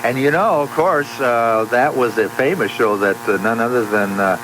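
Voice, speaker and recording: A man co-hosting on radio, chatting casually with a halting delivery. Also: mid-range voice. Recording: very noisy live radio broadcast.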